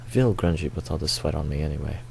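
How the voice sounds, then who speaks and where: A man's voice: extremely low pitch, fast talker, gravelly, a little mellow, slight background noise, full character voice. A man reading the part of a troubled married photographer in his thirties, in subdued first-person visual novel narration. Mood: brooding, subdued. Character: troubled thirty-two-year-old married male photographer, brooding narrator.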